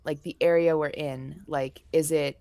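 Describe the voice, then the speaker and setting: A woman's voice: low voice, fairly even intonation, measured pace, mellow, dry recording. A woman chatting casually as a player in a tabletop game, tentatively thinking aloud.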